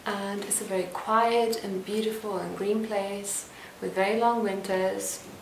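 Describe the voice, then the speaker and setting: A young woman from Lithuania vlogging a casual to-camera Q&A at home, calm and reflective as she reads out each question and answers it. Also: mid-range voice, a little slow, creaky, a little hiss or hum. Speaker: lifestyle vlogger. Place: indoor room at home. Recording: echoey room, voice a bit distant.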